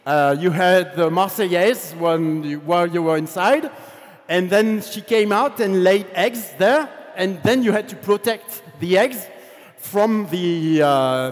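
A man's voice: sits high, big-room sound. A man with a French accent, a game developer giving a chatty conference talk about his career, full of fillers. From France. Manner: relaxed, chatty storytelling with many fillers. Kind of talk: conference presentation.

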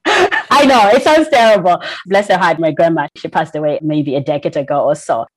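A woman, a podcast guest talking in a lively way, often laughing and saying "like" and "right?". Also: low voice, husky. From Zimbabwe. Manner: animated storyteller, laughs often, frequent "like" and "right?". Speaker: guest, employee group founder. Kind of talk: casual podcast interview.

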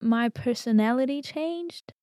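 A woman in her twenties from Armenia with an Armenian accent, a native Armenian speaker chatting casually as an interview guest, full of "like" and "you know" and quick to laugh. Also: high-pitched, dark tone, no room sound. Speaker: guest singer-songwriter.

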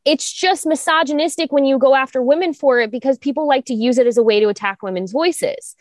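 Young woman with vocal fry, dry sound. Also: a little fast, no room sound. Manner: animated, restarts and fillers, rises high when emphatic. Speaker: podcast co-host. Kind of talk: candid conversational chat.

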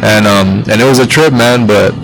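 A man's voice: on the low side, normal speed, creaky, low-quality recording. A man in his thirties, a musician guest on a podcast, talking casually and rambling with lots of fillers and restarts. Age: 30s. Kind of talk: casual interview chat.

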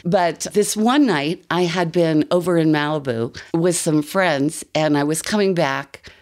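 Older American female, deep register, conversational personal storyteller who drifts into asides. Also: unhurried, a little mellow. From United States. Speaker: listener telling a personal story. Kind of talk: casual first-person storytelling.

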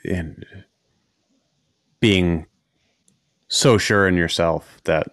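A man with a New England accent hosts a casual podcast conversation. His voice sits very low, with a faint nasal whine. He rambles and restarts often in a dry, close recording. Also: drawn-out words, a bit bright, dry recording. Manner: rambling, hesitant, many restarts. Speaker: podcast host. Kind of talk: casual interview chat.

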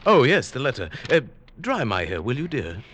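An actor playing a fussy, smug married English professor in 1940s radio style, with a formal, confiding delivery. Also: male voice, mid-range voice, huge pitch swings, moderate pace, fairly bright tone. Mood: breezy, smug. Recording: old radio drama with music behind.

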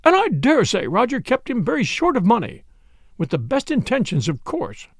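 A man's voice: high-pitched, huge pitch swings, normal speed, gravelly, no room sound, clean studio sound, voice pushed up, full character voice. A man voicing a fretful, self-pitying older mother in a storybook, plaintive, fussy and rambling. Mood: obstinate.

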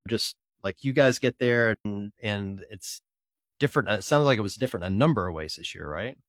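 A man's voice: on the low side, lively intonation, normal speed, dry recording, clean studio sound. A man hosting an interview, chatty and laid-back in casual conversation. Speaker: podcast host.

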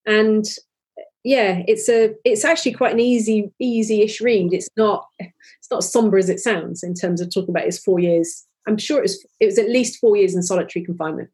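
Husky woman, 40s, candid podcast guest, earnest and animated. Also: mid-range voice, brisk. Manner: earnest, animated, many restarts. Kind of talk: candid conversational interview.

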